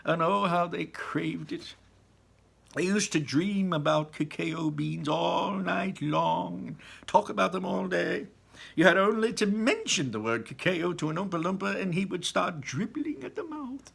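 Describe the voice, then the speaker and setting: An eccentric, proud chocolate factory owner from a children's storybook, acted out by a man reading aloud. The voice is pushed high and swoops animatedly. It is theatrical and emphatic, with a rough, husky edge. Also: expressive, unhurried, fairly bright tone, full character voice. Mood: eager, explaining. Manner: exclaiming, dramatic, emphatic storytelling.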